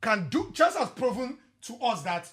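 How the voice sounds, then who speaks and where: A young Nigerian man on a podcast with a husky, bright voice that swings up and down all over the place. He gets excited fast and laughs as he argues. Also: very high voice. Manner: animated, excitable, laughs mid-sentence. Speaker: podcast co-host. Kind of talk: casual chat.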